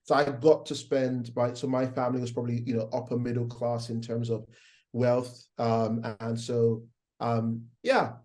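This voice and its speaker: A man's voice: medium pitch, completely flat delivery, moderate pace, a little mellow. A middle-aged man with a Nigerian accent and a faint American twang, a guest chatting casually and rambling with plenty of fillers and restarts. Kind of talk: casual interview chat.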